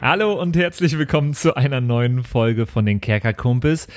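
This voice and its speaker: A man's voice: medium pitch, moderate pace, faint music behind. A man hosting a podcast, giving a casual, friendly and informal introduction with an appeal to listeners. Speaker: podcast host.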